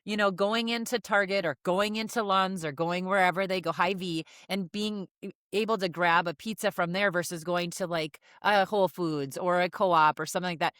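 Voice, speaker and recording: A woman's voice: fairly low pitch, steady tone, moderate pace, a bit bright, dry recording. A woman hosting a podcast interview, encouraging and affirming her guest in casual talk on a close mic. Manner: encouraging, affirming, frequent "yeah". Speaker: podcast host.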